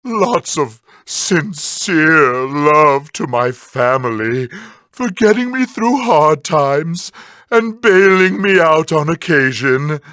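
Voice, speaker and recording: Sardonic, theatrical game villain, wildly swinging pitch. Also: male voice, lively intonation, slow pace, fairly bright tone, no room sound, studio quality. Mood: exasperated, ranting. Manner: theatrical, sarcastic, swinging to outbursts. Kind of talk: cartoon video game villain acting.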